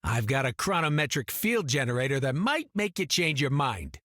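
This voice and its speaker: An actor playing a brash, mocking, gun-toting hero in a video game, teasing and slangy. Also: male voice, mid-range voice, very animated, normal speed, a bit bright, no room sound, studio quality.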